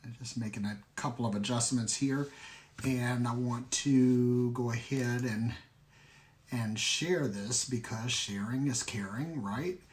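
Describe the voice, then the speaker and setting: American man, middle-aged, slightly raspy voice, medium pitch, folksy, casual ministry livestream host. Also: measured pace, a little hiss or hum. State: raspy voice today. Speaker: online ministry host and teacher. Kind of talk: casual livestream talk with shout-outs.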